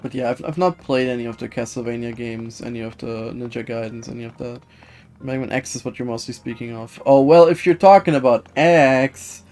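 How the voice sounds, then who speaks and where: A man live streaming a video game, chatting casually with viewers in a hesitant, halting way that often repeats words. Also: medium pitch, fairly even intonation, darkish tone. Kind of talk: casual chat while gaming, reading and answering viewer comments. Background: video game music.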